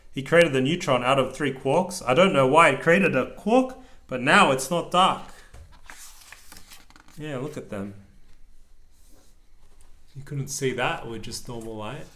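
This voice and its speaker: A man reading a children's storybook aloud as a parent, with casual asides and a deliberate, sing-song delivery. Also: medium pitch, pushed and bright.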